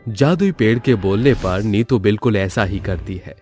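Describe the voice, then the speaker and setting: A man's voice: fairly low pitch, lively intonation, moderate pace, darkish tone, faint music behind. A man narrating a scripted children's story as the storyteller.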